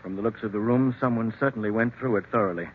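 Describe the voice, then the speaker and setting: An actor playing a polite, troubled, well-off older uncle in 1940s radio drama style. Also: male voice, lowish voice, fast, lightly acted. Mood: concerned. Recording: muffled, phone-like old radio recording.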